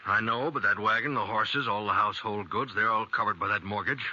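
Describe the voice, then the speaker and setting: A man's voice: lowish voice, fairly quick, bright, forceful tone. An actor playing a humble, weary American farmer and father who has lost everything, in a 1950s radio western. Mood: resigned. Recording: dull, lightly noisy 1950s radio recording.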